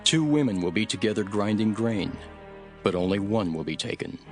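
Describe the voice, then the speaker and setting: A man with a fairly low voice delivers a scripted scripture narration. His reading is solemn and earnest, with measured, deliberate phrasing. Music plays clearly behind him throughout. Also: expressive. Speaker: Bible narrator. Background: background music.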